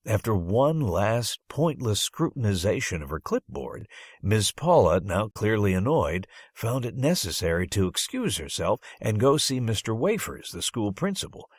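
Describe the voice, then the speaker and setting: Animated, husky male audiobook narrator. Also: fairly low pitch, moderate pace, no echo, clean studio sound. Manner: dramatic, storytelling phrasing with strong emphasis. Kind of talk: expressive scripted first-person story narration.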